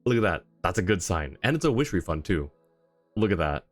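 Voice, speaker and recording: A man's voice: low-pitched, sing-song, brisk, no echo. A man chatting casually on a game livestream, thinking aloud and rambling as he reads chat, with music behind him. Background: video game music.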